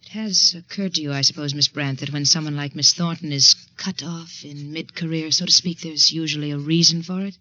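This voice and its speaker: An actress playing an icy, insinuating secretary with arch, pointed politeness in 1940s radio drama style. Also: female voice, low voice, steady tone, fairly quick, hardly any pauses, sharp tone. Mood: insinuating, menacing. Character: icy, insinuating woman secretary with veiled menace. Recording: dull, band-limited 1940s radio recording.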